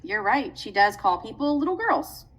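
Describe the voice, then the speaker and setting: A woman livestreamer ranting to her chat in a brash, salty, excitable way, heard in a played-back clip. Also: on the high side, moderate pace. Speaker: livestream host.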